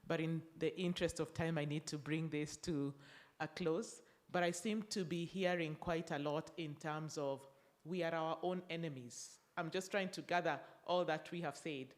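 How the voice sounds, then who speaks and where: A moderator summing up a conference panel in a measured way, repeating phrases. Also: mid-range voice.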